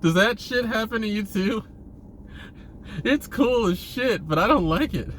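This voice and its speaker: A man giving a casual, rambling monologue to viewers, halting and repeating himself, with informal, sweary phrasing. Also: high voice, animated, a bit bright, a little hiss or hum. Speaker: vlogger.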